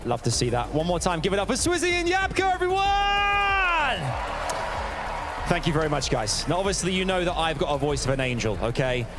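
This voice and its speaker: A man hosting a live esports event on stage, interviewing in a showy, playful way over loud music. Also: high voice, huge pitch swings, a little fast. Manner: showy, playful, addresses a crowd.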